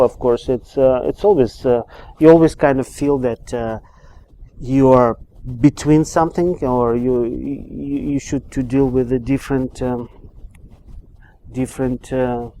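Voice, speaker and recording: Hesitant Moldovan-accented man, mellow voice. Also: medium pitch, poor audio. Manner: hesitant, many fillers and restarts. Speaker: immigrant interviewee. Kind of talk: oral history interview.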